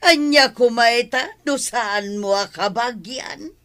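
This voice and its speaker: A woman acting a callous, bossy, sharp-tongued grandmother in an Ilocano radio drama, with an Ilocano accent. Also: fairly high pitch, slow pace. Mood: indignant.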